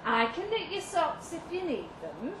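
A woman's voice: very high-pitched, wildly swooping pitch, a little slow, voice pushed up, full character voice. A woman reading the part of a brusque, bossy working-class mother in a live story reading. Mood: brisk, probing. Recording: roomy, distant mic with audible noise.

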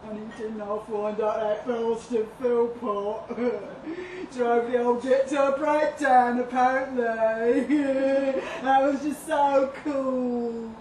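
Cheeky, blunt young woman as a female reader plays her, high-ish, slangy, distant mic. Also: steady tone, slow pace, hardly any pauses, a little mellow. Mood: brash, amused. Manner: slangy, brash, casual. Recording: distant echoey live venue, noisy room.

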